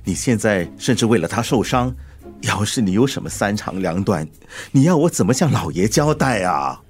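A man plays an older, deferential family chauffeur with a Singaporean accent in a radio drama. His voice is fairly low and swings expressively as he frets and fusses. Light music sits behind him. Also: lively intonation, normal speed, lightly acted. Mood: fretful, concerned. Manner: deferential, fretful, stammering when flustered. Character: anxious, loyal old family chauffeur, fussy father. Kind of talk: Mandarin radio drama acting.

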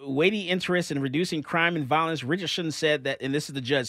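A radio host, ranting and laughing in animated talk-show chat. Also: medium pitch, dry recording, clean studio sound. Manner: excitable, ranting, laughs often.

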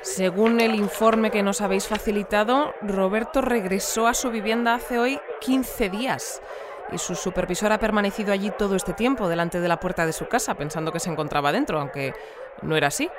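An actress playing a composed woman news presenter in a scripted radio drama bulletin, formal and measured in her delivery. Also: mid-range voice, a crowd in the background, natural voice. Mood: formal, matter-of-fact.

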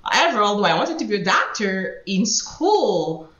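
A woman from Haiti, a guest attorney telling her life story in an unhurried, reflective way with small laughs between phrases. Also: medium pitch, very animated, fairly bright tone. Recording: roomy echo, dull sound.

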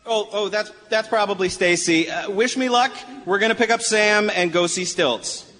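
A man's voice: high voice, moderate pace, lightly acted. A man playing an exasperated, wry grown son in a live radio play. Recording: echoey live hall, faint noise.

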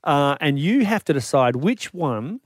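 A man's voice: a little high, very animated, unhurried, dry recording, clean studio sound. A man co-hosting a breakfast radio show, running a playful quiz with teasing banter. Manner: upbeat, teasing, laughs often. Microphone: dry studio mic.

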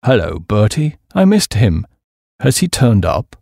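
A man voicing a dim, chummy young English gentleman, earnest and full of wonder. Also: on the low side, big pitch swings, a little slow, creaky, dry recording, studio quality, full character voice. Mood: anxious.

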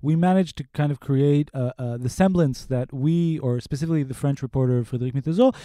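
A man from Israel who talks quickly, his voice mellow and dark, recorded dry and close. He explains things as a reporter in an interview, padding his speech with casual fillers. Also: medium pitch, dry recording. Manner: rapid, explanatory, with filler "like" and "kind of". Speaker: cyber reporter. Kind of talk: conversational interview.

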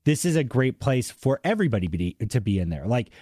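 A man's voice: mid-range voice, big pitch swings, fairly quick, warm tone, dry recording, clean studio sound. A man with a slight Boston accent hosting a podcast in an enthusiastic, casual, conversational way. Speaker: podcast host. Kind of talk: casual interview chat.

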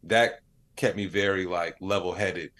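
A man with a low-pitched voice talks informally as a podcast guest. He thinks his points through aloud in a conversational, reflective way, leaning on filler phrases like "you know". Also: fairly even intonation, a little slow, a bit bright. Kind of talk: casual interview conversation.

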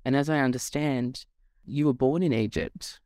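A man's voice: mid-range voice, normal speed, darkish tone, no echo, clean studio sound. A man hosting a podcast, earnest and enthusiastic as he introduces the show and asks questions. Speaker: podcast host.

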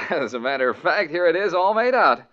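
A man's voice: fairly high pitch, lively intonation, normal speed, fairly bright tone. An actor playing a jaunty, chuckling male private detective in 1940s radio acting. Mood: sly. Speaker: lead actor. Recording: muffled 1940s radio broadcast.

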